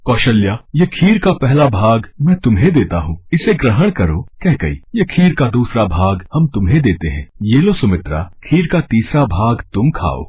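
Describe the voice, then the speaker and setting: A man playing a noble, earnest king in a devotional cartoon, speaking formally and reverently in a husky voice. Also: medium pitch, sing-song, normal speed, few pauses, phone-quality sound, slight background noise, natural voice. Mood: gentle. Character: noble, earnest male king longing for an heir. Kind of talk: Hindi devotional cartoon dub.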